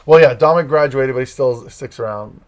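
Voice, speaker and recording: A middle-aged man with a Massachusetts accent rambles easily through his stories in a relaxed guest chat. He leans on fillers and restarts, and his pitch sits in the ordinary middle range for a man. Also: brisk. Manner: rambling, storytelling, many fillers. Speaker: campus ministry leader, guest. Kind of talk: casual interview chat.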